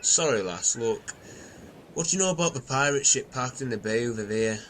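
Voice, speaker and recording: A man's voice: mid-range voice, expressive, moderate pace, a bit bright, a little hiss or hum, lightly acted. A man playing a grumpy, sweary, rambling castaway in an amateur comedy audio drama.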